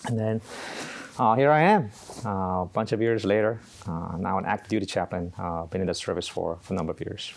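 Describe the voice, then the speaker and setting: A middle-aged man from Korea, a Navy chaplain answering interview questions in an unhurried way, often pausing on uh. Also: deep voice, gravelly. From South Korea. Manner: unhurried, halting with frequent uh pauses.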